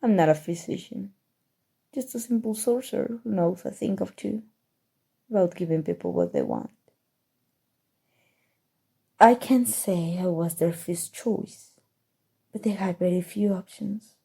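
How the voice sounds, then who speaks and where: A woman voicing a smug, teasing, coolly menacing evil sorcerer in an ASMR roleplay, speaking softly and deliberately with breathy drifts into whispering. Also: mid-range voice, very animated, exaggerated voice. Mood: sly, measured. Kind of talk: ASMR roleplay, scripted character monologue.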